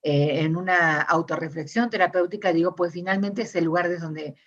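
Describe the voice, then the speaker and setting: A middle-aged Argentine woman with an Argentine Spanish accent, answering interview questions in a thoughtful, searching way with many pauses and restarts. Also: fairly low pitch, dull audio. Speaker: interviewee, discourse analysis scholar. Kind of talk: reflective conversational interview.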